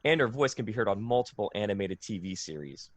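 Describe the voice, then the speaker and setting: A man's voice: on the low side, lively intonation, fast talker, dry recording. A man interviewing a guest about video games in an informal, somewhat hesitant way.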